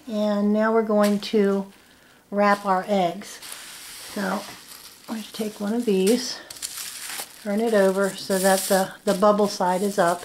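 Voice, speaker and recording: A woman's voice: mid-range voice, slight background noise, low-quality recording. A middle-aged woman giving a casual, plain-spoken step-by-step demonstration at a table indoors. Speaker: farmer giving a how-to demonstration. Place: indoors at a wooden table in a home.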